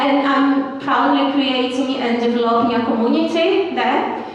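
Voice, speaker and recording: A woman's voice: on the high side, a little hiss or hum. A woman in her thirties from Brazil, a native Portuguese speaker with a Brazilian accent, giving a live talk with fillers and frequent little laughs. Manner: hesitant, giggly, many fillers. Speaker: guest speaker, journalist. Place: live event venue indoors. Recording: echoey hall, distant mic.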